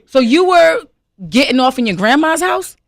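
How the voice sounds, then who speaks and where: A woman's voice: high voice, animated, normal speed, vocal fry, no room sound, studio quality. Woman radio host, direct and teasing. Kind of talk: radio interview. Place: radio studio.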